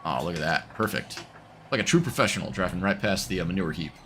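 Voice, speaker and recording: A man's voice: low voice, lively intonation, fast talker, sharp tone, slight background noise. A man with a congested voice from a cold, rambling easily through casual commentary while streaming a driving game. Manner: rambling, self-correcting, easygoing.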